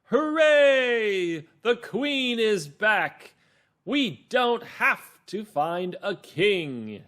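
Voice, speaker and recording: A man voicing excitable townspeople in children's reader's theater, chanting their lines in a drawn-out, theatrical way. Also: high voice, voice pushed up, exaggerated voice. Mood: jubilant. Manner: wailing, drawn-out choral chanting. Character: crowd of distraught, excitable townspeople without a queen.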